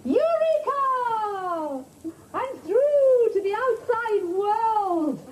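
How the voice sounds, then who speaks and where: Theatrical woman, mock-regal, high voice. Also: sing-song, extremely slow. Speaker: sitcom lead. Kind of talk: 1980s British sitcom acting. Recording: studio audience laughter and noise.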